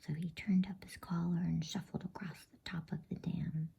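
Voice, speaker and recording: A woman reads a bedtime story in a breathy ASMR whisper, pitched low and dark. She takes it very slowly and softly, narrating from a storybook in a soothing, unhurried way. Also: level tone. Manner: soft, slow, unhurried, soothing delivery.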